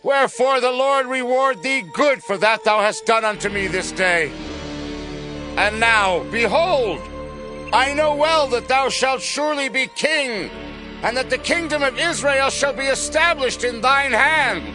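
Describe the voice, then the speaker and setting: A man's voice: very high voice, unhurried, over music. A man playing a remorseful, humbled king in a dramatized scripture recording, declaiming emotionally in archaic phrases.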